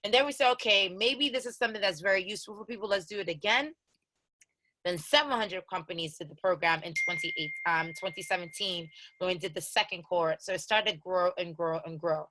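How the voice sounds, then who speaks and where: A woman's voice: lowish voice, brisk, bright, forceful tone, rough recording. A woman in her thirties with an American accent, a co-founder giving an online program orientation in a conversational, direct way and repeating key instructions. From Haiti. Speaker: accelerator co-founder presenting orientation.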